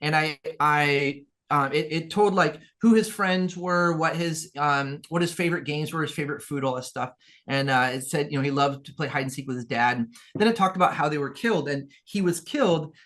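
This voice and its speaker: A man's voice: fairly high pitch, brisk, fairly bright tone. A man from Peru, a guest in an interview, telling his story earnestly in a rambling way, with many restarts. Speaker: guest, business owner.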